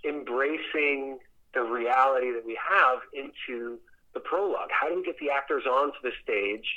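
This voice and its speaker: Muffled, band-limited audio like a radio call carries a man's voice at an average pitch. He speaks conversationally as an interview guest, thinking aloud in rambling answers and doubling back on words as he goes. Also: normal speed, bright, forceful tone. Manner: rambling, thoughtful, repeats words as he searches. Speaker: theater director, interview guest.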